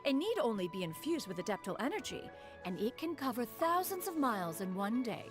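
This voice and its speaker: A lofty formal crane spirit. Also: female voice, a little high, big pitch swings, a little fast. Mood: haughty formal. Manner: archaic formal speech, calls herself "one". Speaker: master. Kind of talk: video game voice acting. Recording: game audio with music behind.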